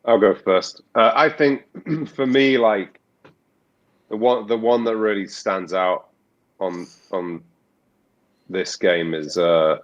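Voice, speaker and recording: A man with a very deep, low voice speaks as an interview guest. He talks thoughtfully, restarting his sentences and stopping to search for words. The sound is muffled and lo-fi. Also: takes it slowly, long pauses. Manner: thoughtful, many restarts and fillers. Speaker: voice actor guest. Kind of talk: reflective interview talk. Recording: muffled lo-fi audio.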